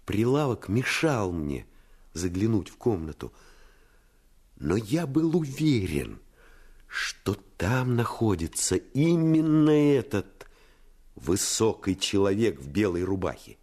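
An actor playing an earnest first-person narrator of a boyhood adventure in a radio play, expressive and suspenseful. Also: male voice, fairly low pitch, slow, full character voice. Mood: hushed suspense. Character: grown male narrator recalling his boyhood adventure, earnest.

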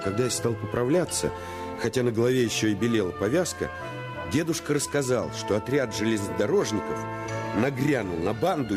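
An actor narrating a radio play in the first person as an earnest, intense storyteller recalling a boyhood adventure. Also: male voice, on the low side. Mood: reflective. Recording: radio play with music underneath.

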